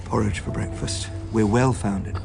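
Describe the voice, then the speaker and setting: An actor playing a weary, educated Edwardian writer, hesitant and wry, in a radio drama. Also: male voice, lowish voice, steady tone, unhurried, gravelly, over music. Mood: genial. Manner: hesitant, wry, politely exasperated.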